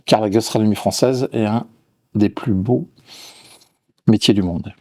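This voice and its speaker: A man's voice: lowish voice, a little slow. A middle-aged man from Lyon, a chef and restaurant owner, answering interview questions with frequent restarts and repeated words. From Lyon, France. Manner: hesitant, repeats words, warm about his work.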